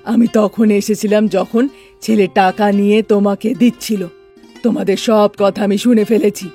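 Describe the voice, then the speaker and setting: A woman's voice: mid-range voice, fairly even intonation, moderate pace, mellow, breathy edge, faint music behind, pitched below the performer's own voice. A woman voicing a bossy, curt middle-aged mother-in-law in a cartoon family story. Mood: confrontational.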